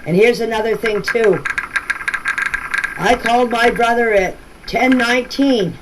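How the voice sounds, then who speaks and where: A woman leaving informal voice messages for a group chat, explaining things in a halting, repetitive way. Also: a little high. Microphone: phone. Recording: noisy phone voice clips.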